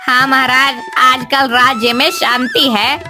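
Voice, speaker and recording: Woman doing royal minister, high voice, deferential, formal, cartoon story, clear music behind. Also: level tone, unhurried. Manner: respectful, formal.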